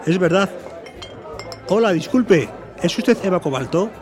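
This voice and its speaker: Un hombre interpretando a un aventurero entusiasta e insistente en una ficción sonora. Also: male voice, high-pitched, expressive, measured pace, over music, crowd noise. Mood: urgent. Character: eager, insistent male adventurer. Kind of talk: audio drama acting. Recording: noisy urban soundscape behind.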